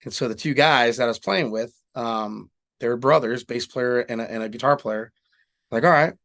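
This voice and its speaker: A man's voice: mid-range voice, normal speed, fairly bright tone. A middle-aged man from Southern California, a guest and singer-songwriter, telling stories in casual interview chat with candid, casual swearing.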